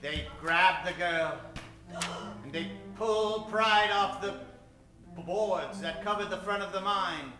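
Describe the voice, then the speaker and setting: A man narrates a melodramatic radio serial in a high-pitched voice. He builds suspense with repeated phrases, in an echoing space with music behind. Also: slow pace. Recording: echoey stage, music behind.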